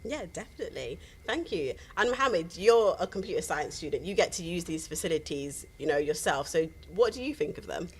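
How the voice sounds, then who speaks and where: A woman's voice: fairly low pitch, expressive, a little fast, hardly any pauses, husky. A woman hosting a university livestream, talking in an upbeat, chatty way with a few fillers. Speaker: livestream host.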